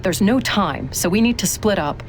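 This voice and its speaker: A woman playing a tough, confident special agent in a video game cutscene, brisk, bossy and teasing. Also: mid-range voice, animated, a little hiss or hum, close to an everyday voice. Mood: brisk, commanding.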